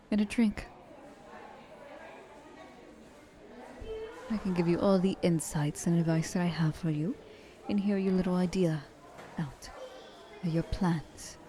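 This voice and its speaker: Low, mellow flirtatious vampire woman acted by a woman. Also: close to an everyday voice. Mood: flirtatious, teasing. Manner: coaxing, intimate, dropping often into breathy whispers. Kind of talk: ASMR roleplay monologue to the listener.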